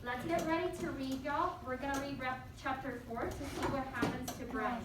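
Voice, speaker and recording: A woman with a high-pitched voice leads children through a lesson as their teacher. She prompts them with questions and encourages their answers. The recording is very echoey and distant, with clear noise behind her. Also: steady tone, normal speed. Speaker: elementary school teacher. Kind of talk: interactive read-aloud lesson to children. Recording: echoey, noisy, distant mic.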